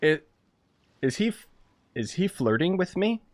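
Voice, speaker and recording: A man's voice: sits high, very animated, a little slow, dry recording. A man giving casual, exclamatory and sarcastic reaction commentary on a video game. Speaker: gaming video creator. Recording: game music behind the voice.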